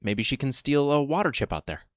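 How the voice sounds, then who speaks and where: An actor playing a practical vault security officer who speaks in a calm, matter-of-fact way. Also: male voice, medium pitch, fairly quick, dry recording, studio quality, lightly acted. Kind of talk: audio drama acting.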